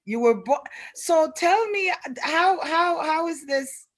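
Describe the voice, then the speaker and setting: A woman's voice: very high-pitched, expressive, takes it slowly. A middle-aged woman hosting a community radio interview, asking curious questions with a mother's asides. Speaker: radio host.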